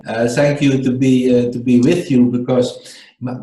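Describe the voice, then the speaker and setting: A man from the Netherlands with a Dutch accent, a guest co-host speaking English as a second language in a halting, earnest way, often searching for words. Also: medium pitch, level tone, mellow, echoey room, low-quality recording. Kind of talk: radio show talk.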